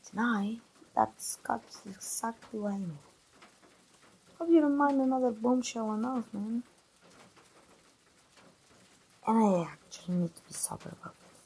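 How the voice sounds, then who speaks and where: A woman acts out a chatty young best friend in an intimate ASMR roleplay. Her voice is very breathy and husky, with a soft, dark tone and creaky edges. She talks in a playful, familiar way, teasing the listener like an old friend. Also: fairly high pitch, moderate pace, poor audio. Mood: sheepish. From Mexico. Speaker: voice actor.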